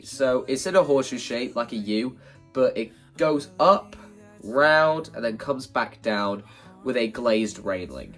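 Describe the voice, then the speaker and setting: A man with a slightly congested, hay-fever voice, talking informally and self-deprecatingly as he explains his creative plans in a casual vlog. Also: medium pitch. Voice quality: slightly stuffy, congested nose. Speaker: video creator presenting a stage play concept.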